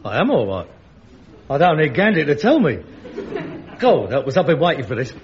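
An actor playing an exasperated, sarcastic grown son with a London accent in a sitcom, theatrical and incredulous. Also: male voice, on the high side, big pitch swings, normal speed. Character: scornful, exasperated grown son of an elderly father. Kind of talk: studio sitcom acting. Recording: dull, noisy archive recording.

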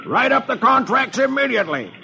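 Bossy comic strip boss, gravelly and pitched way up, dull old radio sound. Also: male voice, sing-song, a little fast, bright, forceful tone, slight background noise, full character voice. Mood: angry, threatening. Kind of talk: old-time radio funny-pages reading.

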